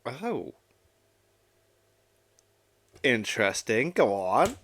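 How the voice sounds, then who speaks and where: A man gives spontaneous reaction commentary in a casual way. His voice is bright and creaky with animated pitch, and he speaks in informal, exclamatory interjections. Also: a little slow, slight background noise. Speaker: reaction video host.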